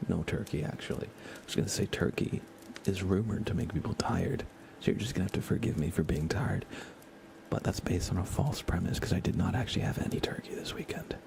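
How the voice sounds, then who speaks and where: A soft-spoken man chatting casually as he hosts an ASMR gaming stream, often slipping into whispers. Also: deep voice, fairly quick, gravelly. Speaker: livestream host. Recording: faint computer fan noise. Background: computer fans, game ambience.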